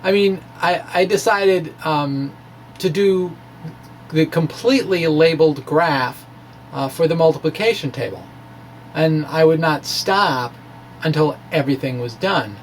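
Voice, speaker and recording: A man giving an informal science explanation in a halting way, as if thinking aloud. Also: on the high side, a little hiss or hum. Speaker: amateur scientist presenting his blog.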